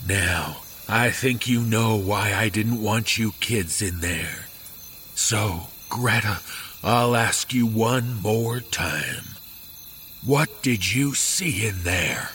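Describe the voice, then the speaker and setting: An actor playing a grim, haunted middle-aged father in a horror audio drama, speaking gravely and haltingly. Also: fairly low pitch, lively intonation, a bit bright, slight background noise. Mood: stern, solemn. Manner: slow, grave, halting delivery. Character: grim, haunted father confiding a dark family secret.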